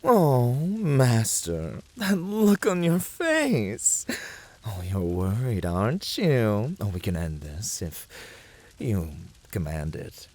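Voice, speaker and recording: A man playing a flirty, fiery incubus servant in a scripted ASMR roleplay, teasing with theatrical, drawn-out delivery. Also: mid-range voice, wildly swooping pitch, a little mellow, heavily acted.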